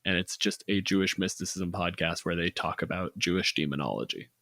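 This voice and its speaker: A man with a fairly deep voice talks casually with collaborators. He is blunt and swears easily, and the sound is close and dry. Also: animated, a little fast, gravelly, bright, forceful tone, no echo. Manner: blunt, profane. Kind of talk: casual planning chat.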